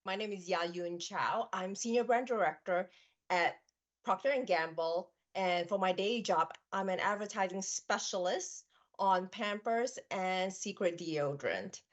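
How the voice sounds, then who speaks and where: A woman from Malaysia introducing herself on a panel in a warm, personal way. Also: mid-range voice, a little slow, dull audio. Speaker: brand director, panelist. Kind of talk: panel discussion.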